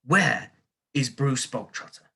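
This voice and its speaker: A storyteller voicing a cruel, bullying headmistress who booms and barks insults at a child. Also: lively intonation, slow pace, vocal fry, sharp tone, husky, heavily acted. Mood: bellowing. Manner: booming, barking insults, mock-sweet when menacing. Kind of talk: audiobook character voice.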